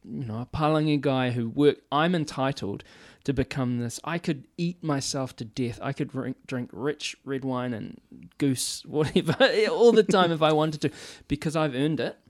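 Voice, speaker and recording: 40s guy thinking out loud, lots of restarts, dead dry sound, boom mic. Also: normal speed, hardly any pauses, no echo. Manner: thoughtful, restarts a lot, talks with his hands. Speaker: healthcare worker. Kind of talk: casual conversation.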